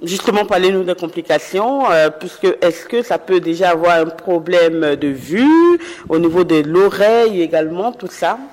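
A woman's voice: lowish voice, a little mellow. Woman, interviewer, hesitant questions with fillers, long room reverb. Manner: hesitant, with frequent drawn-out fillers.

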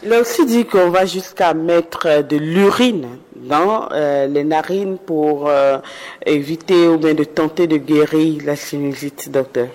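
A man's voice: fairly high pitch, unhurried, darkish tone. Male host questioning a doctor, reverberant sound. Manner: questioning, hesitant with fillers, urging listeners. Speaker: interviewer host. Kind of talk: radio health interview.

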